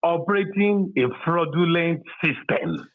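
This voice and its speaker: A man's voice: fairly high pitch, measured pace. Nigerian man on a tinny phone line. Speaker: caller to a political show. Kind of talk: opinionated political phone conversation. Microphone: phone call.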